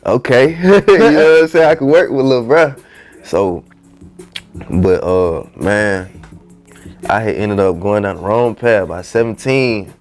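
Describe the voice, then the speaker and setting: A man's voice: fairly low pitch, animated, slow pace, darkish tone, faint music behind. A young man, a rapper telling his story as a podcast guest in loose, slangy talk. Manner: loose, slangy, peppered with "you know what I'm saying". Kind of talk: casual storytelling interview.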